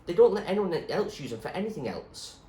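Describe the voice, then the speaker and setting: A man's voice: high voice, big pitch swings, fairly quick, dark tone, voice pushed up. A man playing an eager, loyal boy in a storybook reading, coaxing a friend in a matter-of-fact way. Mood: explaining. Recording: echoey room with clear background noise.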